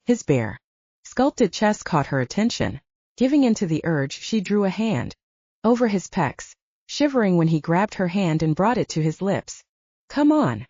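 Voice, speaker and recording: A woman reading a novel aloud as an expressive, scripted audiobook narration. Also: lowish voice, normal speed. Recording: dull lo-fi, roomy sound.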